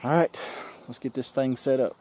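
A man's voice: mid-range voice, moderate pace, slight background noise. Outdoor vlog host, man, 40s, easygoing, faint creekside ambience. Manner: relaxed, explains as he fishes. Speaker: outdoor vlogger. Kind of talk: casual outdoor vlog narration. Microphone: camera-mounted mic, close framing. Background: faint outdoor nature ambience.

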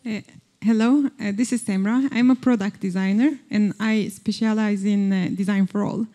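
A woman from Turkey asks a question from the audience. Her voice is mellow, and she talks hesitantly with many fillers. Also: mid-range voice, steady tone, normal speed. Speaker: audience member asking a question.

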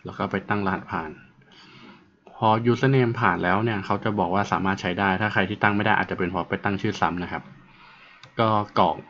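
A man presenting an informal tutorial, explaining step by step in a halting way. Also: fairly low pitch, fairly bright tone. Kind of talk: informal app walkthrough narration. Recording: muffled, dull audio with faint noise and clicks.

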